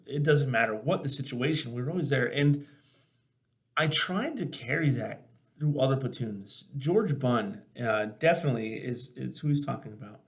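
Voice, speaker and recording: A man's voice: mid-range voice, expressive, moderate pace. Casual, earnest man telling stories. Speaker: podcast host and former army sergeant. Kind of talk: casual conversational storytelling.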